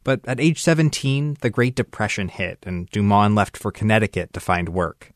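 A man reading a scripted radio news report in a measured, even delivery. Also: lowish voice, dry recording, studio quality.

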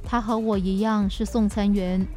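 A woman's voice: mid-range voice, steady tone, normal speed, warm tone, soft background music, lightly acted. An actress playing an earnest, easily wounded wife in her thirties in a radio drama, with emotive, pleading delivery. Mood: weary, aggrieved. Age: 30s.

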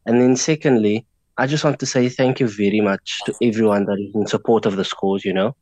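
A man's voice: fairly low pitch, fairly even intonation, a little fast, darkish tone, dry recording. A man with a South African accent, a native Afrikaans speaker, giving earnest remarks as an interview guest. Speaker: guest, fan community founder.

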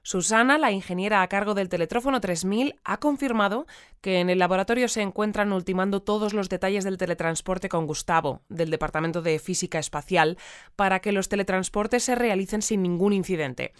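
An actress plays a composed female radio newsreader with an accent from Spain. Her voice is very clear and smooth, recorded dry. She reads and interviews in a formal, orderly way, as in a scripted audio drama bulletin. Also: mid-range voice, brisk, no echo, lightly acted. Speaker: news bulletin presenter.

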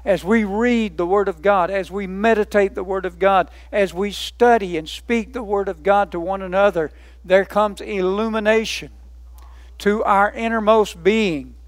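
Man, preacher, high voice, emphatic, rhetorical questions, dry recording. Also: a little slow, dry recording. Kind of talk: sermon.

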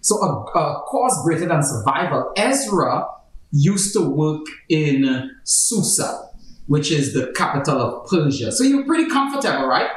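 A man's voice: fairly high pitch, very animated, unhurried. A man, a pastor and host, explaining a Bible study theme in a conversational way with playful asides. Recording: very echoey room, far from the mic.

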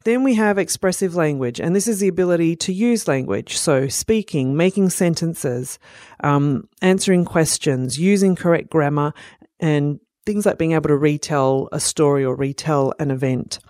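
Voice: medium pitch, moderate pace, a little mellow, dry recording, clean studio sound. Speech pathologist teaching. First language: Italian. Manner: explanatory, with frequent "um" and "you know" fillers. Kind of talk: educational presentation.

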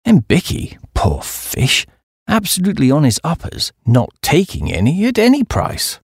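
A man's voice: a little high, huge pitch swings, normal speed, creaky, breathy edge, no echo, clean studio sound. A man with a British accent reading a comic story as an audiobook narrator.

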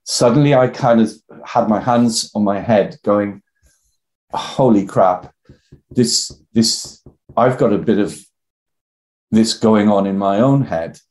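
An older Welsh man from the South Wales Valleys, talking as a guest in a reflective, halting way with many restarts. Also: fairly low pitch, a little mellow, rough recording. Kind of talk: reflective personal interview talk.

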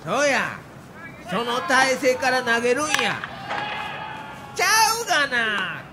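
An actor playing a fiery veteran baseball manager with a Kansai accent, instructing emphatically in an audio documentary drama. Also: male voice, extremely high pitch, big pitch swings, takes it slowly, heavily acted. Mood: stern, urging. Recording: clear outdoor background noise.

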